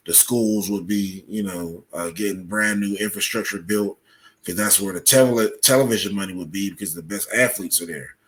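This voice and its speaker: A man speaking as a podcast guest, explaining at length in a halting, deliberate way with many filled pauses. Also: lowish voice, fairly bright tone, low-quality recording. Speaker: podcast guest, soccer coach. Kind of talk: conversational interview, explaining at length.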